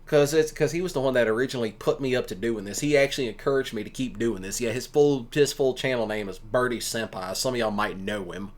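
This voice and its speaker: A man's voice: mid-range voice, quick pace, few pauses. A man giving casual, rambling commentary in a folksy, colloquial way, like a streamer talking to listeners. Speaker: streamer and ASMR audio creator.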